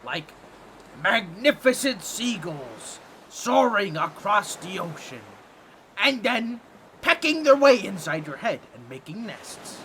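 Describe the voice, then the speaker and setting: High, squawky, excitable cartoon octopus. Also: male voice, slow, slight background noise, exaggerated voice. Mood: insistent, explaining. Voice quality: nasal, squawky comic voice. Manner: theatrical, fussy, pompous wording. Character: excitable, boastful, tactless talking octopus explorer. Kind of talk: scripted comedic audio roleplay, addressing the listener.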